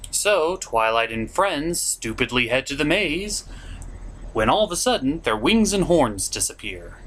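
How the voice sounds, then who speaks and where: A man hosts a casual cartoon review in a very animated voice. His delivery is theatrical and emphatic, pitched a little high for a man. Some noise sits behind the voice. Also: unhurried. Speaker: cartoon reviewer and show host. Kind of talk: casual scripted review commentary. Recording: noticeable background noise.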